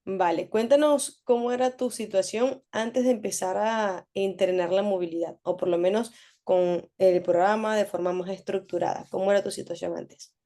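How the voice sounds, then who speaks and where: A woman coach leads a casual interview in a warm, mellow voice. She asks friendly prompting questions and rephrases them several times over. Her pitch sits in a middle range. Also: steady tone, moderate pace.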